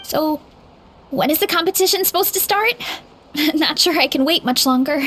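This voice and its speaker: A woman's voice: sits high, moderate pace, a bit bright, a little hiss or hum. A woman voicing an eager young woman with a huge appetite, impatient to start eating.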